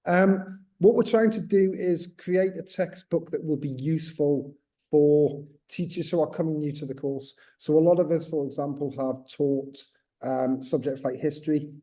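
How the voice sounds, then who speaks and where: A man gives a webinar talk over a video call, his voice mellow and dark. He explains at length, circling back with fillers and restarts, and carries a slight accent. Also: on the high side, fairly even intonation, moderate pace. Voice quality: says he has a slight accent. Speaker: textbook co-author. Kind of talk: webinar presentation.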